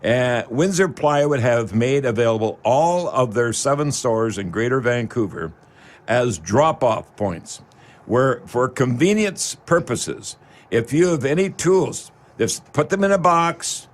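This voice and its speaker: A man's voice: mid-range voice, expressive, unhurried. A man with a Canadian accent hosting a live local radio show, talking in a rambling, folksy way straight to his listeners.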